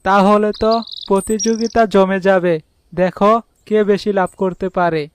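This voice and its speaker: A man's voice: sits high, fairly even intonation, normal speed, slight background noise, natural voice. A man voicing a friendly village seller of young fish who gives advice in a cartoon fairy tale. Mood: friendly, advising. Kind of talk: animated fairy tale voice acting.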